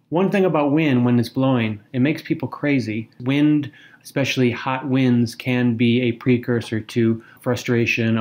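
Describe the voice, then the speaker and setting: A man co-hosting a podcast, giving prepared literary commentary in a measured, articulate way with emphatic phrasing. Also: medium pitch, darkish tone. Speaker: podcast co-host. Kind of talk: literary discussion with prepared remarks.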